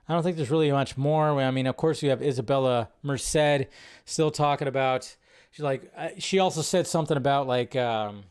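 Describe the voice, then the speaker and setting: A man hosting a casual live movie news show, rambling through his commentary in an animated way with exasperated asides. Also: medium pitch, smooth.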